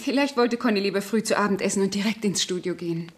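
An actress playing an evasive, secretive family friend in a German radio crime play, smoothly explaining things away. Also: female voice, mid-range voice, fast talker, background noise, natural voice. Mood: evasive, soothing. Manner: explains herself smoothly, plays down worries.

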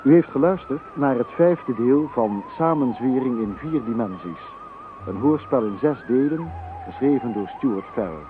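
A man's voice: medium pitch, animated. A man formally reading the closing credits of a radio play. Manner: formal, measured. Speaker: announcer. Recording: tinny radio recording with music.

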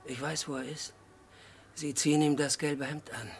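An actor in a German radio crime play, playing an unhinged, childlike murderer who confesses in halting, disjointed sentences. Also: male voice, a little high, fairly even intonation, husky. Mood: brooding, secretive. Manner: halting, disjointed short sentences, swinging from hushed to agitated outbursts. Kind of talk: mid-century German radio crime drama acting.

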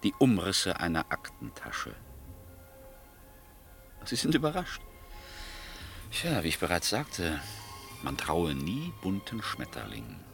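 A smooth, menacing American oil boss in a radio drama. His deep voice swoops expressively and has a crisp, forceful edge. He lingers on words with polished mock politeness. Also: wildly swooping pitch, exaggerated voice. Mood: smugly menacing. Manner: urbane, mock-polite, hesitant drawl with pointed pauses. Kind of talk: German radio play acting. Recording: studio radio play with background music.

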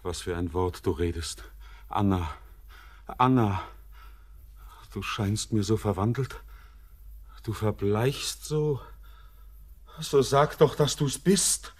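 An entranced young bridegroom in a poetic German radio drama. His husky voice moves very slowly, solemn and intimate. He declaims with the fervour of an otherworldly, ardent visionary. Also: on the low side. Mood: troubled tenderness.

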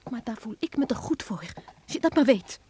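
Actress as a proud, high-voiced young woman. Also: animated, a little fast, dark tone, a little hiss or hum, lightly acted. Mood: reproachful, firm. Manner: firm, reproachful. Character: proud, reserved young woman fending off a suitor. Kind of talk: radio drama acting.

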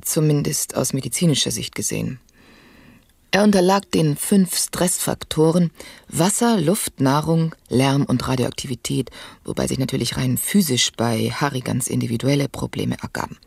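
A woman's voice: rumbling low, normal speed, gravelly, a little mellow, slightly rough, no echo, heavily acted. An actress playing an imperious, pedantic woman doctor in a satirical radio play, clinically matter-of-fact and self-important. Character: domineering woman doctor, self-important motorbike-riding expert.

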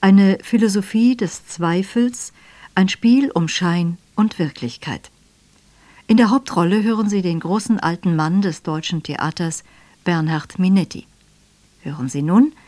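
A woman announcer reading a scripted radio introduction in a measured, formal manner. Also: lowish voice, dark tone, no echo. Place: dry radio studio.

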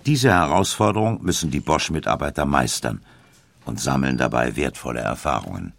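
A man reading a scripted documentary narration in a measured storytelling way with deliberate emphasis. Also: rumbling low, lively intonation, vocal fry, a bit bright. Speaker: audiobook narrator.

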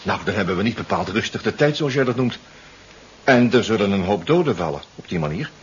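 A man's voice: lowish voice, animated, brisk, natural voice. A man playing an anxious, hesitant seafaring comrade in a 1970s radio drama, often repeating himself. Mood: wondering, doubtful. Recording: muffled 1970s radio recording, background noise.

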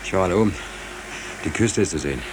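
Low-pitched uneasy seaman, earnest and halting, vintage radio drama with audible noise. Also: lively intonation. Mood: worried. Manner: halting, repeats words, earnest. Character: naive, anxious young sailor, brooding about war and state. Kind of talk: 1940s German radio drama acting. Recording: noisy 1940s radio drama recording.

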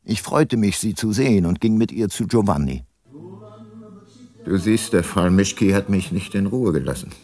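An actor playing a weary, guilt-laden ageing private detective and former prosecutor in a radio play, sober and reflective. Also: male voice, fairly low pitch, animated, normal speed, mellow. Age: older. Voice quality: husky. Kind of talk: first-person radio play narration and dialogue.

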